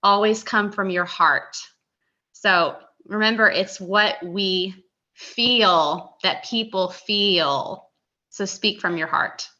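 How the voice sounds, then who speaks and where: A woman on a video call recapping inspiring moments from an event, speaking in animated bursts. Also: mid-range voice, slow pace. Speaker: team member sharing highlights. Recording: muffled video-call audio.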